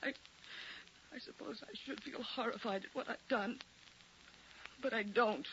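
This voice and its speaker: An actress playing a melodramatic, guilt-ridden wife in a 1940s radio drama. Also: female voice, on the high side, a little slow, a bit bright. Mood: anguished. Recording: dull, noisy 1940s radio recording.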